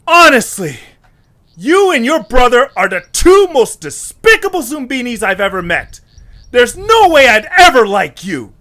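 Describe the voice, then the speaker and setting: A man's voice: extremely high pitch, very animated, measured pace, pushed and bright, voice pushed up, full character voice. A man voicing an indignant, earnest young male creature in an amateur audio drama, with strident, exasperated delivery. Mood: furious.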